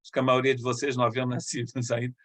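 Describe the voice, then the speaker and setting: Middle-aged missionary pastor, dry close sound. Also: male voice, mid-range voice, completely flat delivery, fairly bright tone, no echo. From Brazil, Rio de Janeiro state. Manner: conversational, halting with restarts.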